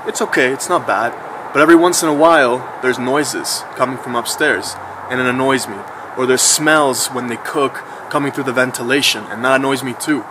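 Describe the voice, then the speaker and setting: A man's voice: mid-range voice, moderate pace. Chatty thirty-year-old man, traffic behind. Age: 30s. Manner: relaxed and chatty, with slangy asides. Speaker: vlogger. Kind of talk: casual reflective monologue.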